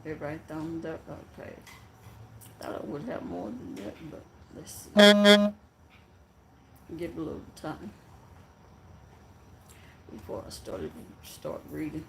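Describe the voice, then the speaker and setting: A soft-voiced live-stream host chatting casually with viewers in a rambling, halting way before a story reading. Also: medium pitch, sing-song, creaky, background noise. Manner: rambling and halting, with many fillers and trailing sentences.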